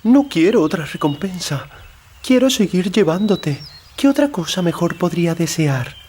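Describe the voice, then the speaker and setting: A man's voice: high-pitched, very animated, normal speed, darkish tone, slight background noise, voice pushed up. A man voicing a humble, devoted old female mule in an audiobook, simple and plaintive. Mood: pleading.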